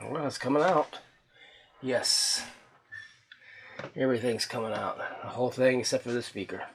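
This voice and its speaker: A man casually talking through a hands-on restoration as he works, folksy and halting, often muttering to himself in a husky voice. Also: mid-range voice. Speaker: host of a radio repair video, hobbyist restorer. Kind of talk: casual unscripted workbench narration while handling the work.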